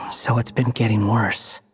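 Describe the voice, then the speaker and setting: A man playing an aloof, sardonic young wandering scholar in a video game, dry and condescending. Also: medium pitch.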